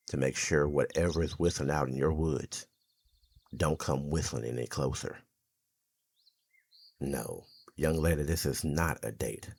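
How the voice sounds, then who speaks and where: Man acting a gravelly, very deep older man. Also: no echo, heavily acted. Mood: gruff, protective. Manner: slow, halting, folksy phrasing. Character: older small-town mountain man, haunted, gentlemanly, protective storyteller. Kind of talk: ASMR roleplay, slow intimate storytelling.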